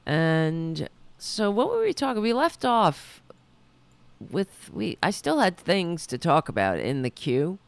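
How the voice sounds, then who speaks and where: A woman hosting her own liberal talk show, chatting loosely and correcting herself as she goes, a little hoarse. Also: lowish voice, very animated, slow, no echo. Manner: rambling, self-correcting, chatty. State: losing her voice. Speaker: podcast host. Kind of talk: casual political talk show.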